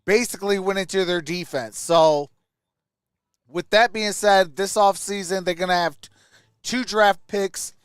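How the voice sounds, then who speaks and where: A man's voice: sits high, smooth, no room sound, clean studio sound. A man hosting a sports livestream, chatting casually and commenting as he answers viewers. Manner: greets viewers by name, conversational.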